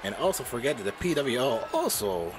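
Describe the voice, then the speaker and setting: A man's voice: medium pitch, very animated, a little fast, over music, poor audio. A man streaming a wrestling game, announcing matches and joking with his chat while losing his voice. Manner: giggly, chatty, jokes with chat. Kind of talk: playful wrestling ring announcing and commentary.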